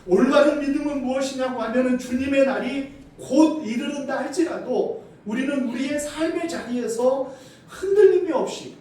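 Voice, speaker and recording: A middle-aged Korean man, a senior pastor, preaching a sermon, asking the congregation questions and swinging between loud emphasis and quiet asides. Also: very high-pitched, normal speed, hardly any pauses. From South Korea. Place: church sanctuary. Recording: echoey hall from far off, faint noise.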